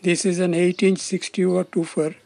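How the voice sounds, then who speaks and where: A man's voice: high-pitched, flat delivery, moderate pace, vocal fry, dark tone. A man giving scripted, step-by-step narration as the presenter of a technical demonstration. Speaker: presenter of a loudspeaker testing demonstration.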